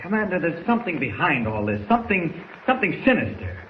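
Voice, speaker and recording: An actor playing a brave, determined reporter hero in a 1940s radio drama, urgent and persuasive. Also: male voice, on the high side, sing-song, fast talker, fairly bright tone. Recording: noisy 1940s radio recording.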